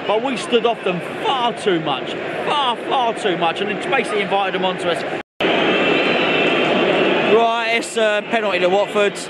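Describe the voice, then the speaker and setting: A man's voice: sits high, moderate pace. A man with an English accent giving chatty, sweary, repetitive match vlog commentary from a football stadium stand. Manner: chatty, repeats phrases, sweary. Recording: loud stadium crowd, noisy outdoor handheld recording. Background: loud match crowd and ambience.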